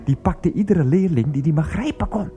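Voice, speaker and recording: Actor as a grumbling, aggrieved man, animated pitch. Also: normal speed, lightly acted. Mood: indignant, bitter. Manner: defensive, repetitive, indignant muttering with filler phrases. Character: aggrieved, grumbling ex-schoolteacher, jealous and sarcastic. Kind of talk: naturalistic comic radio play dialogue. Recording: music behind the voice.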